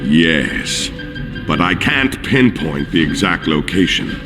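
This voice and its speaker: A man voicing a scheming, pompous alien villain in a video game, with slow, deliberate, formal phrasing. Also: a bass voice, very animated, over music, full character voice. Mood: formal, guarded. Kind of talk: video game cutscene acting.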